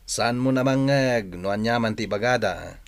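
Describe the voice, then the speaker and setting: A man playing an excitable, blustery village husband and father in an Ilocano radio drama. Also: medium pitch, unhurried, creaky, clear, resonant tone. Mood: irritated.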